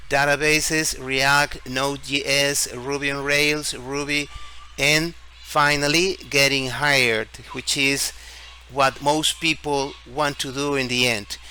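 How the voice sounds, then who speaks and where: A man from Ecuador with an Ecuadorian Spanish accent hosts an informal screen-share explainer in halting, deliberate phrases, often repeating words. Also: mid-range voice, sharp tone. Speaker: tutorial video host. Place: at home, street celebration outside. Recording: faint background noise.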